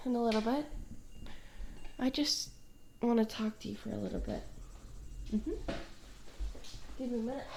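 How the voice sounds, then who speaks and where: A woman playing a smitten, awkward tomboy stalker in an intimate one-sided ASMR roleplay, hesitant and soft-spoken, trailing off with small hums. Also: a little high, background noise. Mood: earnest, smitten.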